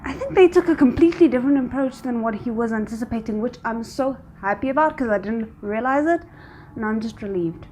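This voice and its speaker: Casting: female reaction host, fairly high voice, invested and thinking aloud, faint noise behind her. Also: expressive, a little fast, mellow. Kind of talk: casual reaction commentary to a drama episode.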